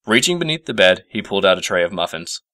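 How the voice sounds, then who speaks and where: A man narrating a scripted story as an expressive storyteller. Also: deep voice, fast, dry recording.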